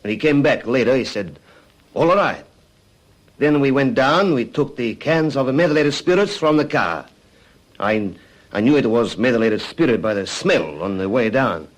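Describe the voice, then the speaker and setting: A man acts out a cowed suspect in a classic radio crime drama. His voice is of middling pitch. He speaks in earnest, halting phrases, repeating himself as he eagerly gives up what he knows. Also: expressive, lightly acted, poor audio. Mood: halting confession. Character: cowed, eager-to-confess male arson suspect, Italian immigrant.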